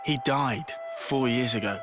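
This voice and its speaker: A man's voice: mid-range voice, unhurried, over music, close to an everyday voice. A man voicing a blunt, stern young fighter in a video game, speaking tersely. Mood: grim. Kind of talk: video game cutscene voice acting.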